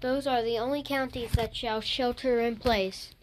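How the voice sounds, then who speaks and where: A woman's voice: on the high side, fairly even intonation, moderate pace. Woman reading emergency alerts, noisy recording. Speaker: emergency announcer in an amateur alert scenario. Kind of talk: emergency broadcast announcement.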